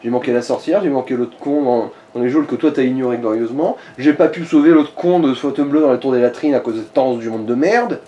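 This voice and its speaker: A man's voice: mid-range voice, fast, few pauses, dark tone, dull audio. A man commentating a video game in casual chat, blunt and slangy with some swearing. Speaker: let's play co-commentator. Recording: distant mic with faint noise.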